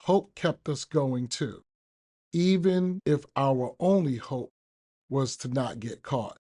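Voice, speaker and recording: A man reading a daily reflection aloud for a recovery podcast, with measured, deliberate phrasing. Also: mid-range voice, mellow. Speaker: guest reader on a recovery podcast.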